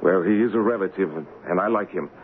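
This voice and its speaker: An actor playing an earnest, honourable English gentleman in a radio drama, speaking in a measured way. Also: sits low, a little hiss or hum, close to an everyday voice. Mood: earnest, resolved. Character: earnest, honourable English gentleman, royal look-alike and storyteller. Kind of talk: old-time radio drama acting and first-person narration. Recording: muffled vintage radio broadcast with music.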